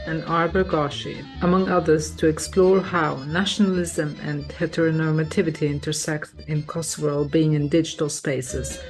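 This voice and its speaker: A woman hosting a podcast, reading a scripted introduction and then guiding the conversation in a measured, clearly articulated way. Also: low voice, rough recording. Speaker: podcast host.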